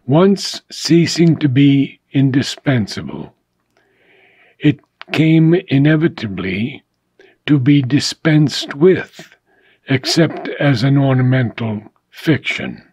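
A man reads philosophical prose aloud in a husky, mid-pitched voice. His delivery is solemn and unhurried, with deliberate pauses separating the phrases. The sound is close and dry. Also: lively intonation, a little mellow, no echo. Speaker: reader of a philosophical book. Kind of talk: scripted audiobook narration of philosophy.

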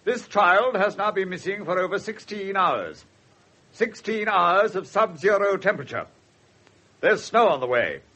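An actor with an English accent playing a pompous, courteous, middle-aged police superintendent in a radio drama, formal and somewhat awkward. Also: male voice, high-pitched, lively intonation, measured pace, bright, forceful tone, slightly rough, exaggerated voice. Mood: formal, urgent. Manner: formal, hesitant when uneasy. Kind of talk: 1970s radio drama acting. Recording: slightly muffled 1970s radio broadcast.

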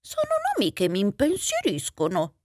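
A trusting little storybook mouse, played by a woman, full of puzzled questions and exclamations. The pitch swings widely, and the recording is close and dry. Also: wildly swooping pitch, normal speed, darkish tone, no echo. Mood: puzzled, suspicious. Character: tidy, trusting but puzzled little male mouse of fairy tale.

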